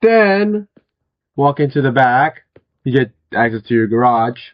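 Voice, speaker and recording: A young man with a hoarse, worn-out voice, chatting casually as he walks viewers through a house in a video game, with many fillers and throat clearings. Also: mid-range voice, big pitch swings, a little slow, muffled recording. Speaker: gaming video host. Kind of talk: casual game walkthrough commentary.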